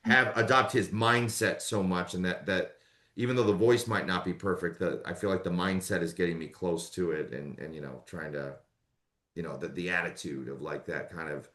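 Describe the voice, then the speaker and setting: A man's voice: low-pitched, moderate pace, roomy sound. A man who narrates audiobooks, talking casually in an interview and rambling with lots of fillers. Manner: relaxed, rambling with many fillers.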